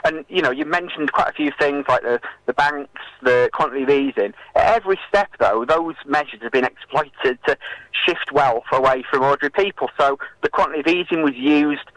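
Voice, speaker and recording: A man speaking as an activist guest in a radio interview, making a political argument in a hesitant, halting way with many filled pauses. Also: on the high side, fairly bright tone, no room sound, rough recording.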